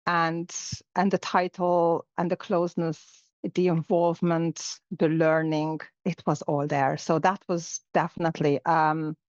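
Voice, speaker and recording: A woman's voice: low-pitched, flat delivery, a little slow, a little mellow, dry recording. A middle-aged woman from Hungary, a native Hungarian speaker, talking reflectively as an interview guest with many fillers and restarts. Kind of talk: casual interview conversation. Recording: dull, lo-fi sound.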